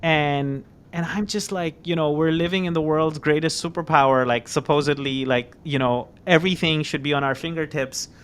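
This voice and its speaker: A man hosting a podcast interview, speaking in an animated, halting way and often repeating words. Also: a little high, clean tone. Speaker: podcast host. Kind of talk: conversational interview.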